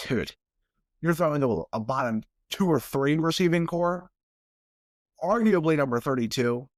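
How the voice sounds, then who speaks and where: A man's voice: a little high, animated, moderate pace, no echo, clean studio sound. Stuffy-nosed man hosting a sports podcast. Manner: casual, rambling, repeats words when restarting. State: stuffy from sinuses. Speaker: podcast host. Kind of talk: solo sports podcast talk.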